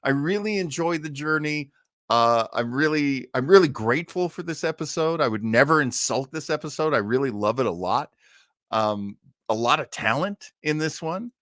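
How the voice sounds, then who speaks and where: An American man hosting a casual live stream, talking in a rambling, halting way with chuckling asides. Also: fairly high pitch, animated, fairly bright tone, dry recording, clean studio sound. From Maine, United States. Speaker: host of an online old-time radio listening show.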